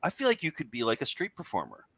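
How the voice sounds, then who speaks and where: A man with a bright, animated voice trades casual comic banter. His pitch moves around a lot, and the sound is close and dry. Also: quick pace, husky, no echo. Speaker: show regular.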